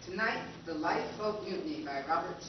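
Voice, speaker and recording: An old-time radio announcer delivers the opening in formal style, played by a man. His voice is high-pitched. The hall is noisy and echoing, and the recording is distant and dull. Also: a little fast, natural voice. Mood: announcing. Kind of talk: live stage recreation of 1950s radio drama.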